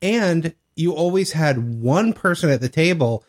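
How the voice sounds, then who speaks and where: A man's voice: a little high, expressive, a little slow. A man co-hosting a podcast, talking in a casual, conversational way. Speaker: podcast co-host. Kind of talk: casual discussion.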